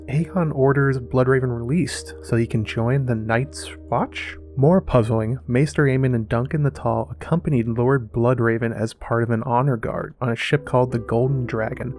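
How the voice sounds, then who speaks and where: A man delivers scripted narration in the manner of an analytical video essay. His voice sits at a middling pitch for a man, and soft music plays behind him. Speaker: host of a fantasy lore analysis show.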